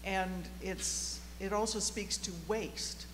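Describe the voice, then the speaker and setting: An audience member giving a spontaneous, reflective comment in a halting way. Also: mid-range voice, steady tone. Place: large auditorium, audience microphone. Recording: reverberant hall, faint hum and noise.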